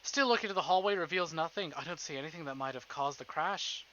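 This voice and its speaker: A man's voice: on the high side, fast talker, sharp tone, dull audio. A man streaming a visual novel, reading it aloud theatrically with playful, casual asides.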